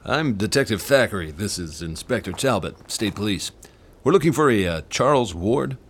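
A police detective in a radio drama. The man's low voice is gruff and official, putting questions bluntly and with suspicion. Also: lively intonation, moderate pace, a little hiss or hum. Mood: gruff, suspicious.

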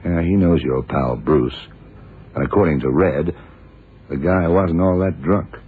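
An actor playing a shrewd, dogged FBI investigator in a radio crime drama, terse and deliberate. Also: male voice, low voice, slightly rough. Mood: brooding. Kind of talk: 1960s radio drama acting. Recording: muffled, noisy old radio broadcast recording.

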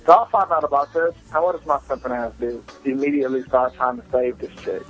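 A man's voice: mid-range voice, quick pace, slightly rough. Muffled young man telling candid stories. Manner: candid, self-deprecating, profane. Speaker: listener calling a podcast voicemail. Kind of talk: casual personal storytelling. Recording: muffled lo-fi voicemail with music.

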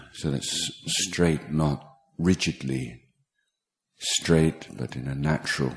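A man teaching guided meditation, speaking in a halting, measured way with pauses between short phrases. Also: very deep voice. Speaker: meditation teacher, Buddhist monk. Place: meditation retreat talk in Thailand.

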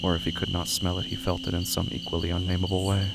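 Deep male narrator, gravelly, even delivery, solemn literary horror storytelling, loud cricket bed. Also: fast talker, heavy background noise. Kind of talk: scripted horror audio drama narration. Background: night crickets and insects.

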